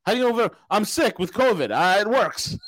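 A man hosting a podcast, talking in loud, profane, mocking rants. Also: high voice, animated, brisk, faint music behind. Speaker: podcast host. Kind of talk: casual talk show banter.

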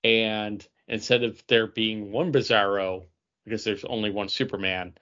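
Low-voiced man, halting, dull lo-fi recording. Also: fairly even intonation, bright, forceful tone. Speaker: podcast co-host. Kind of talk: casual review chat. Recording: dull lo-fi sound.

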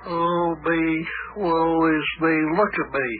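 A man's voice: on the high side, fairly even intonation, slow pace, phone-quality sound. A man acting a grumbling, self-pitying cab driver turned private eye in an old-time radio comedy, lamenting in a melodramatic way. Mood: woeful. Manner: woeful, melodramatic, repetitive lamenting. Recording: thin, noisy old radio recording.

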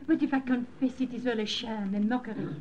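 A woman's voice: fairly high pitch, fairly quick, muffled recording, slight background noise, lightly acted. An actress, pleading with her lover. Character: torn French woman confessing to lover. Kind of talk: 1940s radio acting.

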